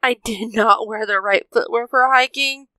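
A woman's voice: fairly high pitch, normal speed, pushed and bright, dry recording, clean studio sound. A woman playing a weary, wistful young-hero ranger in an audio drama. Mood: grumbling. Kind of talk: audio drama character acting.

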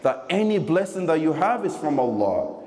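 A man preaches in a measured, emphatic manner, repeating phrases for weight. His voice sits a little high and moves up and down in animated swings. It carries through a large indoor hall with long reverb. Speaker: preacher giving a Friday sermon. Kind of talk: sermon. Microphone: microphone on a stand.

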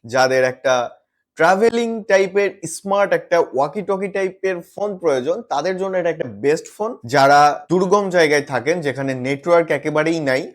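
A man's voice: on the high side, a little fast. A man presenting a product review to viewers as a gadget reviewer, mixing in English words and phrases.

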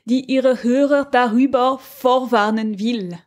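A woman's voice: a little high, steady tone, slow. A woman co-hosting a podcast, talking casually about a radio drama with many fillers, restarts and laughs. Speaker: podcast co-host.

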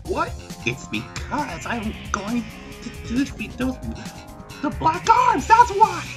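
A man voicing an angsty, short-tempered hedgehog antihero in an improvised comedy parody dub, with a halting, exasperated manner. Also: very high-pitched, wildly swooping pitch, music in the background, full character voice. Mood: indignant outburst.

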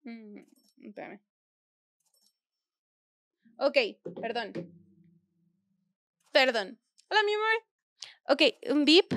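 A woman's voice: sits high, sing-song, extremely slow, pauses often, a bit bright, no echo. A young woman with a Mexican accent, chatting casually and thinking aloud while she plays a game on stream. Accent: Mexican Spanish. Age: young adult. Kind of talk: casual gameplay commentary. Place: small indoor room at home.

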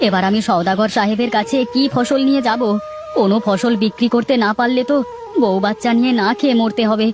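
A woman's voice: fairly high pitch, quick pace, lightly acted. A woman voicing a poor, humble pumpkin farmer who pleads and wails in a cartoon. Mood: despairing. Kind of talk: cartoon voice acting. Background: background music.

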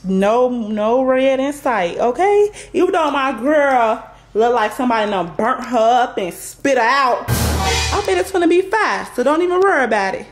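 A woman's voice: high-pitched, lively intonation, moderate pace, soft background music. Playful woman cooking, roomy far-off sound. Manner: playful, chatty, sing-song exclamations, addresses viewers as y'all. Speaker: vlog host cooking at home. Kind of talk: casual cooking vlog talk to viewers. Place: large home kitchen. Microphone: far camera on tripod. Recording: roomy kitchen audio from a distant camera.

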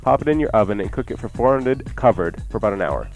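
A man with a slightly low voice chats about cooking on the radio. He talks quickly and colloquially, like a casual host. Music plays behind him. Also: lively intonation, a little mellow. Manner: chatty, colloquial.

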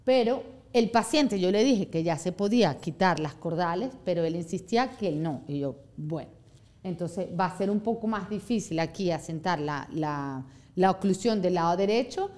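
A woman's voice: lowish voice, normal speed. A woman in her thirties, an orthodontist, giving a live seminar to a small room and often checking in with "¿ok?". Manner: animated, gestures a lot, checks in with "¿ok?". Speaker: orthodontist lecturer.

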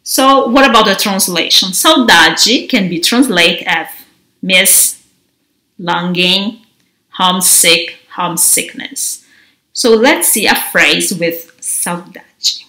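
Female teacher in her 30s, bright tone, unhurried and gentle. Recorded in a bare, echoey room. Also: lowish voice. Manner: gentle, enunciates each word slowly. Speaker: language teacher. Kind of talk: slow, careful teaching to camera. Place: large, bare indoor room. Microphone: camera mic at desk distance. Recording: roomy echo of an empty room.

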